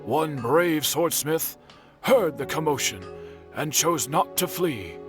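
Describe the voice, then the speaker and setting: A man playing an earnest, courteous blacksmith in a video game, telling old tales formally with dramatic pauses. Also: fairly high pitch, lively intonation. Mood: somber. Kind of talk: video game voice acting, narrative exposition. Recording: background music under the voice.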